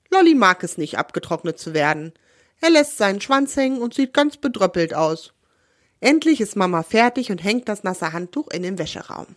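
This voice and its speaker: A woman host of a children's podcast, hoarse with a cold, reading a story aloud in a friendly, expressive manner. Her voice rises and falls a lot, and it sounds close and dry on the mic. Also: medium pitch, no room sound.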